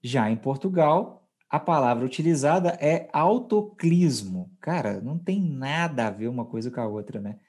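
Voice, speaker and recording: A man's voice: medium pitch, lively intonation, moderate pace, hardly any pauses, a little mellow, slightly rough. A Brazilian man hosting a podcast language lesson, explaining conversationally and checking in with his co-host. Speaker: podcast host teaching Portuguese.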